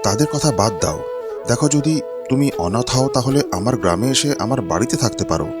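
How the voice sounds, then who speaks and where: Guy doing a devoted young village man, fairy tale cartoon, concerned, medium pitch. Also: animated, fairly quick, over music, close to an everyday voice. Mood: relieved, caring. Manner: earnest, concerned.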